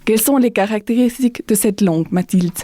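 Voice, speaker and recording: A young woman student hosting a podcast discussion, speaking slowly and carefully. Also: a little high, dark tone, slight background noise. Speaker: host and student.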